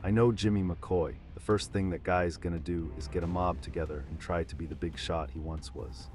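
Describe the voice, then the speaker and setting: A man's voice: sits low, steady tone, moderate pace, darkish tone, soft background music, lightly acted. A man playing a ruthless, swaggering gangster boss with tough mobster talk in a comic dub. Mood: menacing. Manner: tough-talking mobster delivery. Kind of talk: motion comic dub of 1940s gangster story.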